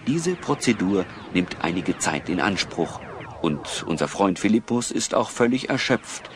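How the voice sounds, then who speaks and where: A man narrating a German children's audio play as a storyteller, in measured, scripted sentences. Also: deep voice, very animated, background noise. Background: music.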